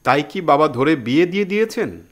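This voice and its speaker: An actor playing a curious, respectful village listener in an audio drama. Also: male voice, on the high side, lively intonation, brisk.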